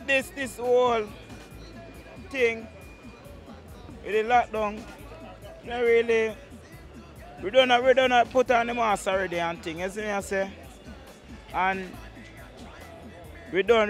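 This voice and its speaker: A man with a Jamaican accent talking casually on a city street, halting and repeating himself, with tag questions. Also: high voice, a bit bright. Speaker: street interviewee.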